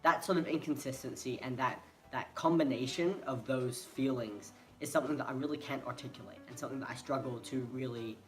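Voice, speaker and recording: A young man from Hong Kong, talking casually to camera in a hesitant, rambling way and often restarting. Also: mid-range voice. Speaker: vlogger. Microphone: phone. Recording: phone recording with faint music behind.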